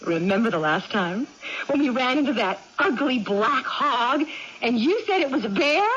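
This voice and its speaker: Through a dull, hissy old radio broadcast comes an excitable young woman with a high, swooping voice. She is theatrical and emphatic, insisting on things like a child in a 1980s radio drama. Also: moderate pace. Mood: breezy, eager. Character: excitable young woman with amnesia and swinging moods.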